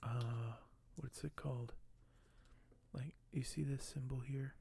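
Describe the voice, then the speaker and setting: A man speaks softly as an ASMR host, often slipping into a breathy whisper. His voice is mellow with some creak in it, and his pitch barely moves. He takes his time, hesitant and a little apologetic. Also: fairly even intonation. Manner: slow, hushed, hesitant, apologetic. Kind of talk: ASMR unboxing, soft-spoken.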